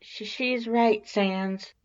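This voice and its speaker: A man's voice: high voice, steady tone, drawn-out words, lo-fi sound, voice pushed up. A man voicing a nervous, earnest female monster who stammers and speaks urgently in an audiobook-style story. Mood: urgent, indignant.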